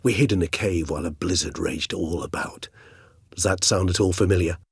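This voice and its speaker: An actor playing a courteous, erudite middle-aged vampire in a video game, with a husky low voice and formal, eloquent, measured phrasing. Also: male voice, dry recording, clean studio sound, heavily acted. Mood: grave, concerned. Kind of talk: video game character dialogue.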